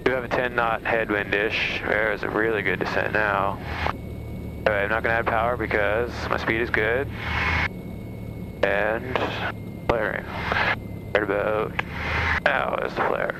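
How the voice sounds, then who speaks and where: A man's voice: lowish voice, fairly even intonation, unhurried, vocal fry, pauses often. A man who is a private pilot, muttering a casual running commentary to himself as he flies. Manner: mutters short self-directed remarks and checks. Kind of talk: casual running cockpit commentary while flying. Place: small single-engine plane cockpit in flight. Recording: loud aircraft engine noise. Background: loud propeller plane drone.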